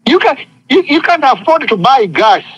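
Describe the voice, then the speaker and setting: A man's voice: very high-pitched, very animated, normal speed, fairly bright tone, breathy edge, no echo, lo-fi sound. A man preaching an impassioned sermon, speaking emphatically with repetition and often calling for responses from listeners. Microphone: phone conference call.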